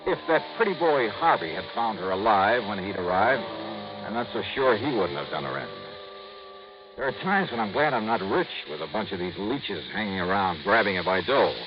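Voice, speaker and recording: A man's voice: lowish voice, big pitch swings, moderate pace. An actor playing a streetwise male insurance investigator in a 1950s radio drama, wry and clipped. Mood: dry. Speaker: lead character and narrator. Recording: hissy lo-fi old radio broadcast.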